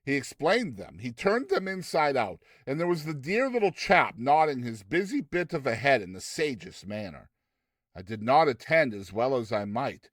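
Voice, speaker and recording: A man giving a dramatized audiobook narration, theatrical and expressive, with deliberate phrasing. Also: medium pitch, no room sound, studio quality. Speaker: narrator of short story.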